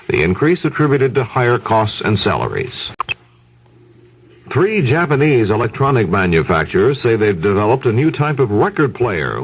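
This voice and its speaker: An American man anchors a scripted radio newscast. His pitch moves in an animated way and has a husky edge. The sound is dull and band-limited, like a 1970s radio broadcast. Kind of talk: scripted news reading.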